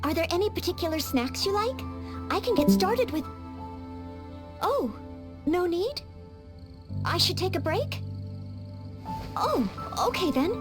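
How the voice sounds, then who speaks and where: An actress voicing an earnest, polite young maid training to be a knight in a game cutscene, with small hesitant stammers. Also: female voice, extremely high pitch, sing-song, unhurried, long pauses, over loud music, exaggerated voice. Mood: eager. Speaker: game character.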